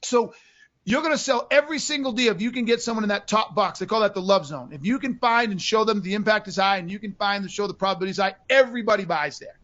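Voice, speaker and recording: A man co-hosting a podcast in casual chat, animated, acting out buyers' lines as he explains. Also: high voice, fast, muffled recording. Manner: animated, acts out buyer voices in quotes. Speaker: podcast co-host.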